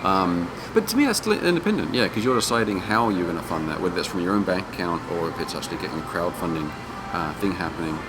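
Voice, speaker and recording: A man's voice: low-pitched, expressive, fast talker. A man being interviewed as an independent game developer, speaking casually and informally in rambling explanations. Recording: clearly noisy background hum.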